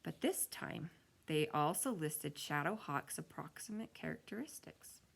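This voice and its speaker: Female storyteller, low and creaky, expressive read-aloud for a children's novel. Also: measured pace. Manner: expressive reading with acted-out dialogue. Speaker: kindergarten teacher reading a children's novel aloud.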